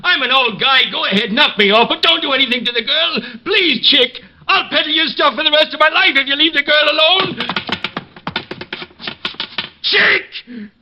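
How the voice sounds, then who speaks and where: An actor playing a frightened, pleading older ex-convict informant in a 1950s radio drama. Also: male voice, very high voice, moderate pace, sharp tone, a little hiss or hum, exaggerated voice. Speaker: old informant. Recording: dull old radio transcription.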